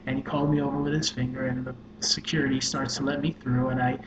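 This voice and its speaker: A soft-spoken man, a social worker and life coach, earnestly telling a personal story with many fillers. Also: mid-range voice, level tone, fast. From New York. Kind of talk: personal story told to camera. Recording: echoey room with dull sound and noise.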